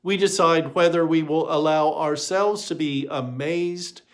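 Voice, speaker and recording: A man voicing a gentle, patient, reassuring church minister in a storybook reading, with a questioning manner. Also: on the high side, measured pace, lightly acted. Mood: gentle, earnest.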